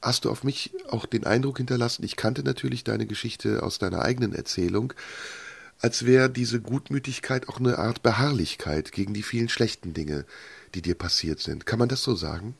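A man interviewing a guest, asking measured, reflective questions. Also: medium pitch, creaky, slightly rough.

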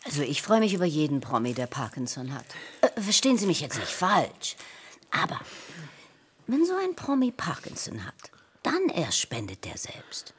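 A chatty woman in a radio play, with a lowish, husky voice. Her pitch swings freely as she rambles with naive bluntness. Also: huge pitch swings, normal speed, heavily acted. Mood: chatty, earnest. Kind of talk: conversational radio play acting.

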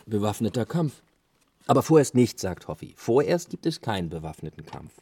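An actor playing a playful, idealistic bohemian man in a radio play, with a husky voice and teasing, clipped phrases. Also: lowish voice, sing-song, moderate pace, a little mellow, slight background noise. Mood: earnest, sly. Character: Henry, bohemian male squatter friend, playful and idealistic. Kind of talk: German radio play dialogue.

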